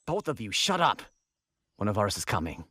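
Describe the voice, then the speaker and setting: A man playing an irritable, uptight squad fighter in an anime audio drama, terse and husky. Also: on the low side, big pitch swings, moderate pace, sharp tone, no room sound, clean studio sound, full character voice. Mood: irritated. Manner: terse, snappish.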